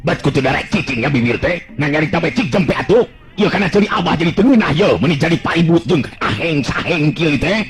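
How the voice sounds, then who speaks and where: A man's voice: on the high side, lively intonation, brisk, faint music behind, voice pushed up, lightly acted. Guy doing an old village grandpa, grumbly and scolding, audio folk tale, swoopy voice. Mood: annoyed. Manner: scolding, colloquial.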